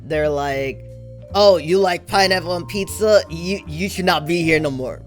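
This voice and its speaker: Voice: medium pitch, unhurried. Easygoing stream host with questions. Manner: easygoing, curious interviewer. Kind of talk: casual chat. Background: background music.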